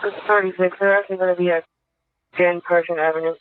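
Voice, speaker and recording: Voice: medium pitch, flat delivery, fast, clear, resonant tone. An emergency dispatcher relaying details over a two-way radio in narrow, tinny audio.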